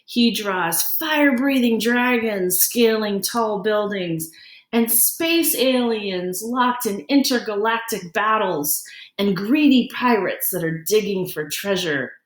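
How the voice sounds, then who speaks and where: A woman reading a children's picture book aloud like a teacher, in an expressive, deliberate way. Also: medium pitch. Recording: roomy, echoing sound.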